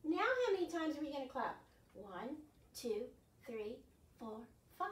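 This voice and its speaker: An older woman leading an interactive storytime for young children as a children's librarian, speaking in an animated way with exaggerated questions. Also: sits high, measured pace. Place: carpeted living room at home. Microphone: camera across the room. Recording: roomy, distant mic with some background noise.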